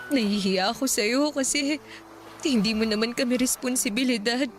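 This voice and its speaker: A woman playing a gentle young student in a radio drama, speaking with a worried, hesitant, polite manner. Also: fairly high pitch, moderate pace, soft background music, close to an everyday voice. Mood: grateful, hesitant.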